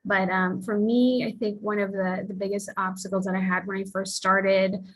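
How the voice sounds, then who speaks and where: A woman's voice: medium pitch, little pitch movement, normal speed, poor audio. A woman from the Philippines speaking earnestly as a panelist, sharing her own path with many fillers. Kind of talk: panel discussion, personal account.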